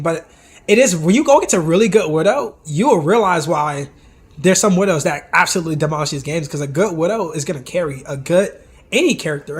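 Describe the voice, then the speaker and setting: A man with a fairly high, husky voice gone hoarse and worn out talks through game replays on a live stream. He chats loosely with his viewers, restarting sentences and wandering into asides as he goes. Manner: chatty, talks to chat directly, many asides and restarts. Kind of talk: casual live-stream commentary.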